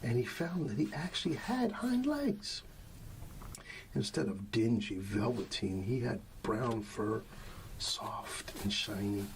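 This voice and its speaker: A man speaking softly and soothingly as a father at bedtime in an ASMR roleplay, often trailing toward a whisper, with a creaky voice. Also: mid-range voice, expressive, takes it slowly, slight background noise, rough recording. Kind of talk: soft ASMR bedtime storybook reading, talking to a child.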